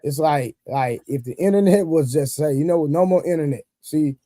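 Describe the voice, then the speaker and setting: A middle-aged man with a mellow, fairly high voice. He chats casually and reflectively in a dry-sounding space, often adding little check-in phrases. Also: normal speed, no echo. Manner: reflective, punctuates with "you know what I'm saying". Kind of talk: casual chat.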